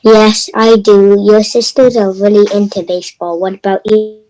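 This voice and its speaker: Lady reading a helpful friendly neighbor, soft mellow tone, medium pitch, fairy tale readers theater. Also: little pitch movement, normal speed, faint music behind, lightly acted, low-quality recording.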